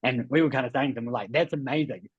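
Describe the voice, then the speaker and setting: A man's voice: medium pitch, quick pace, slightly rough. A man with a New Zealand accent hosting a coaching webinar, explaining emphatically and repeating key points with frequent check-ins. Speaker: host and business coach. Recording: muffled, roomy webinar audio.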